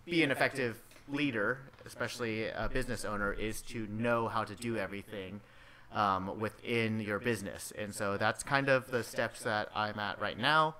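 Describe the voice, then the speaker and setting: A man in his late twenties, a guest in a casual chat, easygoing and soft-spoken, talking close to a desk mic. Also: on the low side, moderate pace. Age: late 20s.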